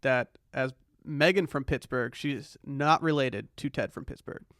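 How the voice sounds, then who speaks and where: A man's voice: medium pitch, measured pace, fairly bright tone, no room sound, studio quality. A young American man chatting casually as a podcast co-host. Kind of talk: casual chat.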